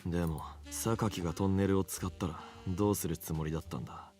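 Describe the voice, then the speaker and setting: An actor playing a low-key man who questions his gangster partner in a visual novel. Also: fairly even intonation, racing, mellow, faint music behind, natural voice. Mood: doubtful.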